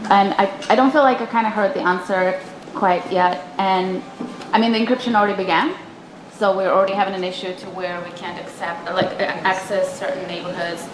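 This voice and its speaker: Woman reporter, insistent and informal questioning, echoey press room with clear background noise. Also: mid-range voice, steady tone, brisk.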